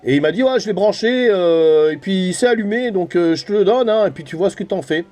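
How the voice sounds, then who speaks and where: A man's voice: high-pitched, expressive, fairly quick, darkish tone. A middle-aged man from France chatting casually and colloquially as a hobby CB radio operator. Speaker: amateur CB radio operator and vlogger. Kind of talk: casual chat over CB radio.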